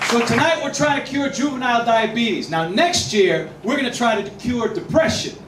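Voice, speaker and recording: A man's voice: extremely high pitch, moderate pace, a faint crowd. A man from Boston with a Boston accent doing a wry, punchy stand-up set in a restaurant. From Boston, Massachusetts. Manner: wry, self-deprecating, punchy delivery with loud mock announcer shouts. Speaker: stand-up comedian. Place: Mexican restaurant, indoors. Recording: echoey room, distant mic, audience noise.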